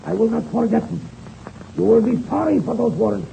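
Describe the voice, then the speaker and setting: An actor in an old-time radio serial playing a proud, hot-tempered Russian pilot who speaks defiant, boastful broken English with a Russian accent. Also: male voice, high voice, expressive, normal speed, gravelly, full character voice. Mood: indignant, threatening. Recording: muffled old radio recording, faint noise.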